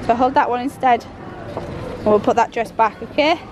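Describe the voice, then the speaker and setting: A woman, chatting casually to a handheld camera with a croaky voice from a cold, rambling with lots of ums. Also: on the high side, moderate pace, background noise. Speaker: family vlogger. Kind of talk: casual vlog chat to camera. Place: home kitchen, then shops. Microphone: handheld camera at arm's length.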